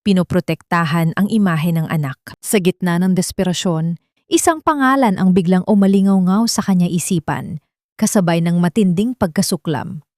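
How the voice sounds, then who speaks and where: A woman narrating a scripted audio drama in a solemn, suspenseful, measured way. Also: lowish voice, a little mellow, no echo, clean studio sound. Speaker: story narrator.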